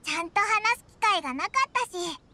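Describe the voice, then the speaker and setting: A woman voicing a tiny, childlike fairy girl companion in an anime game, squeaky, cute and perky. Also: lively intonation, very fast, background noise. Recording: studio voice over game music.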